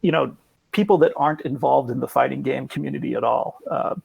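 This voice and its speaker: A man in casual podcast conversation, explaining things in a rambling, hedging way with a gravelly, husky voice. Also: medium pitch, brisk, a little mellow, dry recording. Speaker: podcast guest explaining esports.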